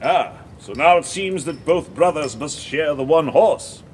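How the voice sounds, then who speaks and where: Stern, commanding knight marshal. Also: male voice, a little high, lively intonation, unhurried, slight background noise. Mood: solemn authority. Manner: authoritative, formal, contemptuous. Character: stern commanding Templar marshal, pious, ruthless. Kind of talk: historical audio drama acting.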